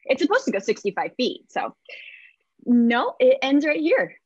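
A woman playing an eager, awkward, apologetic new mother, gushing and halting, in a comedic play reading with a husky voice. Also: sits high, big pitch swings, no echo, full character voice. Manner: nervous, gushing, halting self-corrections. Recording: muffled video call audio.

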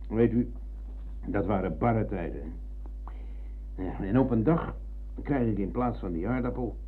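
An old, band-limited radio recording has a proud old ex-prisoner in a radio drama. His low, husky voice is emphatic and rhetorical, like someone used to telling his story. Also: a little slow, narrow, tinny audio. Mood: reminiscing, proud.

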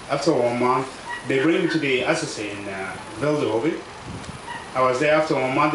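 South Sudanese man in his thirties, halting non-native English, outdoor tent mic, echoey and distant with background noise; personal testimony. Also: mid-range voice. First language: a South Sudanese language. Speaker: refugee speaker. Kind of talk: personal testimony at a public event. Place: outdoors under a tent canopy at a camp. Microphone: table microphone on stand.

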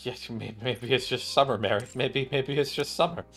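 A man's voice: mid-range voice, moderate pace, a bit bright. A man in his thirties casually reading visual novel dialogue aloud as he streams. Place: indoor room at a gaming setup. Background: game music, keyboard clicks.

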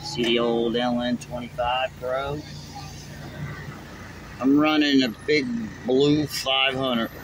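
A man talks through his welding job in short, relaxed remarks, taking his time between words. His voice sits at an everyday middle pitch. A phone picks him up outdoors, with the noise of a construction site clearly behind him. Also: takes it slowly, long pauses. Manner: laid-back, terse running commentary. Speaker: welder filming his work. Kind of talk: casual on-the-job narration. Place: outdoors on a construction site, partly inside a steel tube.

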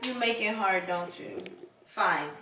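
A woman's voice: medium pitch, takes it slowly, pushed and bright, a little hiss or hum. A woman in her thirties playing a strict mom in a family skit, scolding and exasperated, in a living room. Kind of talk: scripted family skit acting. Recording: echoey room, far from mic, background music.

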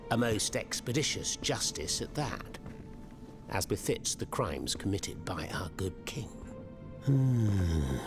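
An actor playing a mocking, zealous revolutionary in a video game, speaking in a flowery, sardonic manner. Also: male voice, fairly low pitch. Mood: curious, disdainful. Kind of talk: video game cutscene acting. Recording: game music behind the voice.

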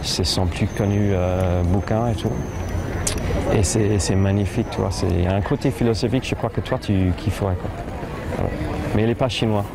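A man's voice: fairly low pitch, steady tone, normal speed, vocal fry. A young man chatting casually in a park, teasing and self-mocking. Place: outdoor city park with crowds. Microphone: hidden mic, filmed from afar. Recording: noisy outdoor park ambience.

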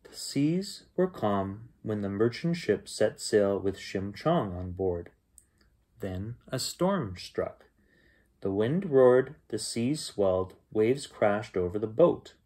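A middle-aged man reads a children's bedtime story aloud as its host, with expressive storytelling. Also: lowish voice, slow. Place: small bedroom at home, indoors.

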